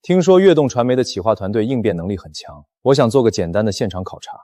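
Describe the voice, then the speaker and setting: A man playing a cold, commanding company director in an animated drama, speaking formally with cutting, dry mockery. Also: lowish voice, fast talker, dry recording. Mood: cool, testing. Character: cold, commanding, dryly mocking male boss.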